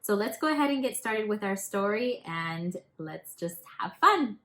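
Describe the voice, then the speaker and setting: A woman teacher presenting and reading a children's storybook aloud in an expressive, emphatic storytelling manner. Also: mid-range voice, normal speed.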